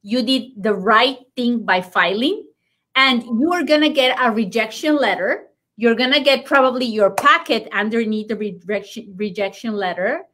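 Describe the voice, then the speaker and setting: A woman's voice: fairly high pitch, lively intonation, normal speed, a bit bright. A woman immigration lawyer, a native Spanish speaker with a Spanish accent, explaining legal news in an interview emphatically and reassuringly, repeating key points.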